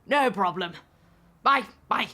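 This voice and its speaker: A woman's voice: mid-range voice, expressive, takes it slowly, bright, forceful tone, exaggerated voice. A woman playing a brusque, imposing British man in his late forties, with clipped, punchy, staccato delivery in an audio drama. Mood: clipped, defensive. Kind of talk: audio drama phone-call dialogue.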